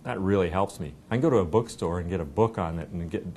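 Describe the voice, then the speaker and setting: A man's voice: fast, darkish tone. A middle-aged man explaining a product conversationally during an interview and demonstration, recorded indoors on a lapel mic. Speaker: software company representative giving a demo. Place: indoor meeting room. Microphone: clipped lapel microphone. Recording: clear low rumbling room noise.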